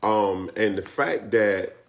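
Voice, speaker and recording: Low, gravelly man, teasing then earnest. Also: takes it slowly. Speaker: co-host of a church live stream. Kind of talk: casual chat turning to teaching.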